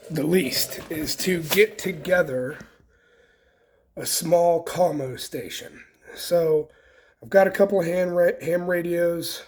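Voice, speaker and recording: Slow-talking man giving a casual hands-on demonstration. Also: on the high side. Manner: folksy, unhurried, thinking aloud. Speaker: host of a homesteading DIY vlog.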